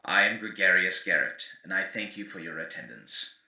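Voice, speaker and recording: An actor playing a grave, eloquent chronicler of a ruined world, narrating lore in formal, theatrical oratory for an audio drama. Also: male voice, low voice, expressive, normal speed, sharp tone, roomy sound, distant mic, heavily acted, poor audio. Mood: solemn warning. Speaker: narrator and host. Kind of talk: scripted in-character lore narration for an audio series.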